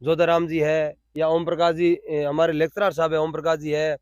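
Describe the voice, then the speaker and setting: High-pitched Rajasthani man, dry sound. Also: monotone, brisk, smooth, dry recording. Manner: repeats phrases for emphasis. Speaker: interviewee, cow shelter volunteer. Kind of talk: spontaneous explanatory interview answers.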